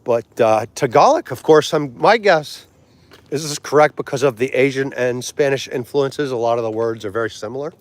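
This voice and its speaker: A man's voice: mid-range voice, dry recording. Chatty man in his forties. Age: 40s. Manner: chatty, laughs easily, tries foreign words slowly. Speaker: livestream host. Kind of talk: casual livestream chat with viewers.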